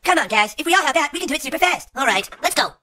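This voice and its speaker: An impatient cartoon character urges others on in a high, sharp voice. The delivery is fast and animated. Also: female voice, a little hiss or hum, heavily acted. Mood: urgent.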